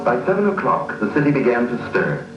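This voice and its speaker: A man's voice: medium pitch, huge pitch swings, a little fast, roomy sound, over music. A man giving formal, solemn, dramatic scripted narration for a 1940s documentary. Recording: distant, muffled, noisy old film soundtrack.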